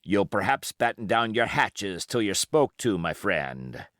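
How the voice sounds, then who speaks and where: A man's voice: fairly low pitch, big pitch swings, measured pace, a bit bright, dry recording, clean studio sound. A man voicing a wily old pirate captain, genial yet menacing, in folksy sailor talk for an audiobook. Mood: truculent. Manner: folksy sailor dialect, cajoling, sly. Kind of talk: audiobook character acting.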